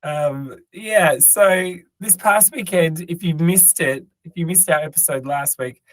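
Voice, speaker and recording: A man co-hosting a podcast, speaking in a hoarse, raspy voice he has worn out, rambling and joking with plenty of ums and restarts. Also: a little high, a little slow, dry recording, rough recording. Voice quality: tired, raspy. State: hoarse, lost voice. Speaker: podcast co-host. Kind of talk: casual chat.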